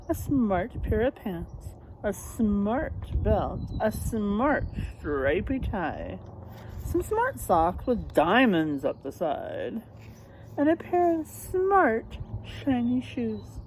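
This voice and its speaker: A woman's voice: medium pitch, darkish tone, slight background noise, low-quality recording. A woman reading a storybook aloud for children as host, explaining simply and addressing young listeners directly.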